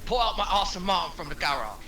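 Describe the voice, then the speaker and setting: A man's voice: high voice, very animated, fast talker, heavy background noise. A man voicing a bullying, contemptuous British crime boss in his fifties at a script table read. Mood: barking orders. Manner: gruff insults, commanding. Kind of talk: amateur screenplay table read.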